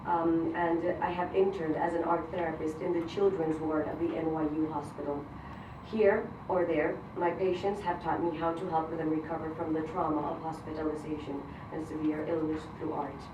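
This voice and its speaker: A woman from Colombia, a Spanish speaker, giving an earnest, measured prepared speech as a grant recipient. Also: low-pitched, level tone. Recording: roomy, distant mic, noisy event hall.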